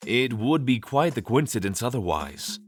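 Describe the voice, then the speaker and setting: A man playing a formal, reasonable adventurer with measured wording in a fantasy audio drama. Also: medium pitch, sing-song. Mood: thoughtful, assured.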